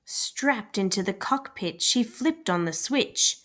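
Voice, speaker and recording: A woman's voice: medium pitch, expressive, slow, a bit bright. A woman storyteller reading a rhyming children's picture book aloud as scripted narration.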